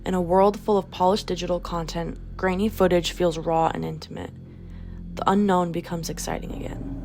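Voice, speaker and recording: Low-pitched, creaky-voiced woman narrating an essay. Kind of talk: scripted video essay narration. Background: music under the voice.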